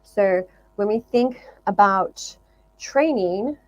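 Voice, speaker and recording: A woman's voice: medium pitch, slow pace, darkish tone, no echo, low-quality recording. A woman talking casually to viewers as a fitness vlogger, explaining things emphatically and often repeating phrases in lists.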